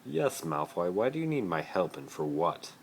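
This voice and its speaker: A man's voice: low voice, very animated, normal speed, gravelly, full character voice. A man voicing a bewildered, skeptical teenage boy wizard in an incredulous, hesitant manner, in a story read into a phone. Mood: puzzled. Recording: noisy amateur phone recording.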